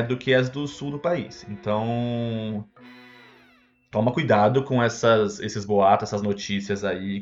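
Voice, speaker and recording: A man with a Brazilian Portuguese accent hosting a podcast, explaining things to listeners in a casual, chatty way with frequent check-in tags. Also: medium pitch. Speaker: podcast host.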